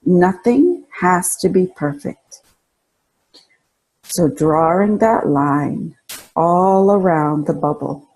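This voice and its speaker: A woman narrates a guided relaxation meditation at a very unhurried pace. Her voice is soft-toned and mellow, with a husky, breathy texture. She gives calm, soothing instructions and leaves space between phrases. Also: fairly low pitch, low-quality recording.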